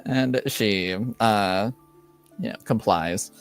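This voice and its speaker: A game master narrating a tabletop roleplaying session in a hesitant, halting way, searching for words. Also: sits low, smooth, faint music behind.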